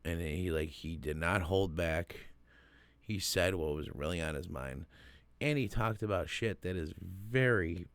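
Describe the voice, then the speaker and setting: Low-voiced dude slowly chatting about an album, swears a lot, dry close sound. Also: male voice, smooth, dry recording. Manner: profane, laid-back, frequent fillers. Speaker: reaction video host. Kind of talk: casual album reaction commentary.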